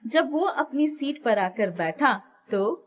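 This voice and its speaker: A woman narrating a scripted children's moral story with expressive delivery. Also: sits high, slow.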